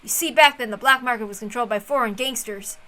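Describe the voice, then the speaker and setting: A woman's voice: a little high, fast talker, bright, forceful tone. A woman voicing a boastful middle-aged man in a visual novel, with a blustery, folksy manner. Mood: boastful, reassuring. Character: boastful middle-aged man telling old war-era stories.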